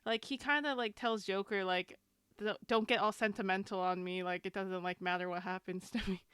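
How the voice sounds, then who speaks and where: A woman chatting casually, retelling things in a halting, filler-heavy way with small laughs. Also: mid-range voice, fairly even intonation, a bit bright, clear, resonant tone, no room sound, studio quality. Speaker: podcast panelist. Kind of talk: casual chat.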